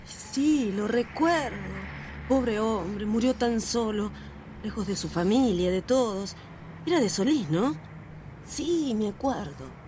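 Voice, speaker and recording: Casting: a woman voicing a tender, worried sister, higher voice, a little street noise behind her. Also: lively intonation, takes it slowly. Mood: gentle. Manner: soothing, then alarmed. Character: worried caring sister, a nurse. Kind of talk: radio drama acting.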